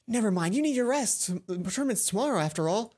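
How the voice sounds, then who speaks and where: Woman putting on a higher, gentle young roommate. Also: lively intonation, quick pace, breathy edge. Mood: thoughtful, gentle. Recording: music behind the voice.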